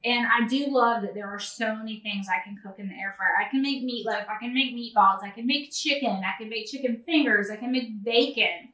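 A bright, high-pitched woman talks through a recipe in her thirties, chatty and eager with her viewers. She is picked up by a camera a few feet away in a large home kitchen, so the sound is roomy and a little distant. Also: fairly quick, hardly any pauses. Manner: chatty and enthusiastic, addresses viewers as y'all. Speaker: cooking channel host. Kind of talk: casual cooking vlog. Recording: echoey kitchen, voice a bit off-mic.

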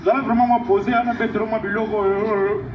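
Apologetic mumbling man, high voice, echoey street recording with noise. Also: level tone, a little fast, a crowd in the background. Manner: apologetic, mumbling, repeats itself. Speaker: street guitarist. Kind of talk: comedy sketch. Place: outdoors on a paved residential street. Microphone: far camera. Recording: echoey, dull, noisy street.

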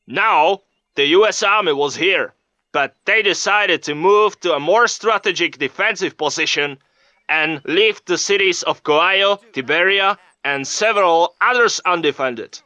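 Solemn, forceful army captain. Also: male voice, high voice, no room sound, muffled recording. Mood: grave. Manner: solemn, deliberate. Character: grave, indignant male army captain of a fictional kingdom. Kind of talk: field interview in a game news cutscene.

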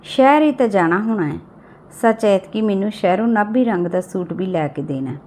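A woman's voice: mid-range voice, lively intonation, brisk, a little mellow, slight background noise, lightly acted. Innocent teen village girl, naive n curious, done by a lady narrator in an audio story. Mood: teasing, curious. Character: innocent, playful eighteen-year-old village daughter.

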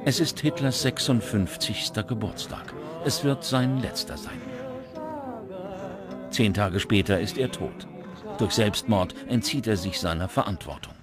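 A man reading scripted documentary narration in a measured, dramatic manner. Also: fairly low pitch. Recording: music under the voice. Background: background music.

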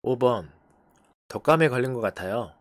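A teacher giving a language lesson, briskly prompting numbered phrases in turn. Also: mid-range voice, lively intonation, dry recording. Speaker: English teacher.

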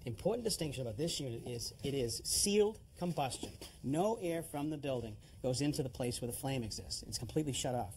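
A man's voice: mid-range voice, lively intonation, fast talker, slight background noise. A middle-aged man giving a plain-spoken, instructive demonstration as a home improvement heating expert. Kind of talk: hands-on explanatory demonstration.

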